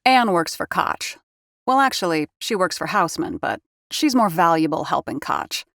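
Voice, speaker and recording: A woman's voice: lowish voice, animated, normal speed, vocal fry, a bit bright, dry recording, clean studio sound. An actress playing a wry, teasing woman on a theater crew in an audio drama.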